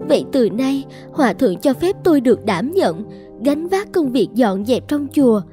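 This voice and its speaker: A lovesick young schemer in a cartoon folk tale. Her voice is very high and bright, swinging widely as she pleads and coaxes in a plaintive, coquettish way. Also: very animated, moderate pace, soft background music. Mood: meek, grateful. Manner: plaintive, coquettish, emotionally dramatic. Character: lovesick, scheming young woman feigning helpless distress. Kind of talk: Vietnamese animated folk tale dubbing.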